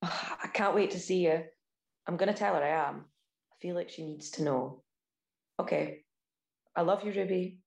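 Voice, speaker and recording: An actress playing an earnest, secretive eighteen-year-old woman, speaking quietly and hesitantly in a naturalistic audio play. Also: fairly low pitch, moderate pace, gravelly, long pauses, reverberant. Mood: tender, nervous. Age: young adult.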